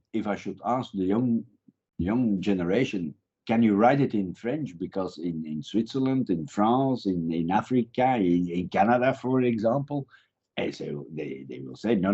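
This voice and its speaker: Professor, fifties, husky and dark, lively intonation, muffled line. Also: male voice, fairly low pitch. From Belgium. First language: Dutch. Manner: animated, repeats words while thinking. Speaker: guest professor. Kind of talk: interview answers. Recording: dull lo-fi recording.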